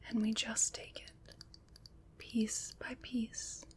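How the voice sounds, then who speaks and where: Woman playing a slow, whispering, soothing witch. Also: fairly high pitch, flat delivery. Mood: gently encouraging. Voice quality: breathy, unvoiced whisper. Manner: slow, gentle, reassuring, pausing between phrases. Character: kind, soothing witch comforting someone troubled by nightmares. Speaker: ASMR performer. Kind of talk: whispered ASMR roleplay. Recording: clear background noise.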